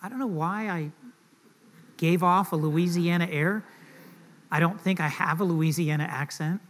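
A man's voice: a little high, moderate pace, a bit bright. Joking, conversational man preaching a sermon. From Southern United States. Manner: conversational and joking, asks the audience questions. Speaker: pastor.

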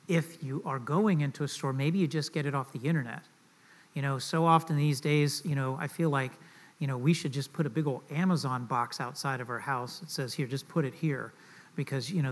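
A man's voice: fairly high pitch, a little fast. Joking, conversational man preaching a sermon. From Southern United States. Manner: conversational and joking, asks the audience questions. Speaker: pastor.

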